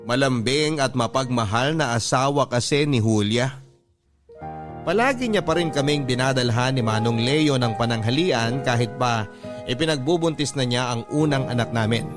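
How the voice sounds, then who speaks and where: Brief: heartfelt male radio-drama narrator, mid pitch, clear music bed beneath. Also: normal speed. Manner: heartfelt, addresses the host directly. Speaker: letter sender narrating his story.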